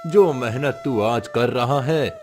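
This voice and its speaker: A man's voice: mid-range voice, slow, natural voice. A man playing a kindly old village uncle in a moral story, worried, sympathetic and encouraging. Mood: concerned, encouraging. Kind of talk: Hindi moral story voice acting. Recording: faint music behind the voice.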